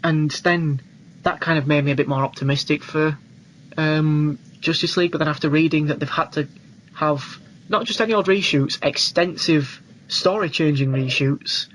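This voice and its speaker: Higher-voiced man on a noisy recording. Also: normal speed, fairly bright tone. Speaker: podcast co-host. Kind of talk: casual film discussion.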